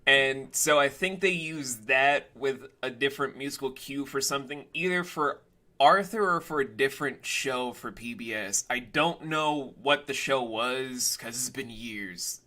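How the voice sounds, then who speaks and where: A man's voice: mid-range voice, measured pace, pushed and bright. A man in his late twenties hosting a casual interview, blunt and sarcastic, who swears freely. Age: late 20s. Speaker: podcast host interviewing a voice actor.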